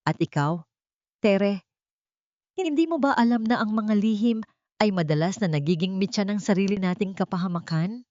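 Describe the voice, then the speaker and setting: A woman's voice: medium pitch, lively intonation, moderate pace, pauses often, dry recording, voice pushed up, close to an everyday voice. Cold, commanding matriarch villainess voiced by a woman. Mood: cold menace. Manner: sharp, authoritative, menacing. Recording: dull, dry lo-fi recording.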